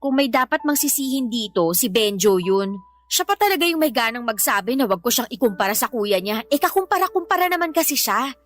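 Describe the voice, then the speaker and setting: A woman's voice: fairly high pitch, expressive, brisk, close to an everyday voice. A woman playing an exasperated young mother who complains emphatically about her partner in a Filipino radio-style drama. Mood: aggrieved, frustrated.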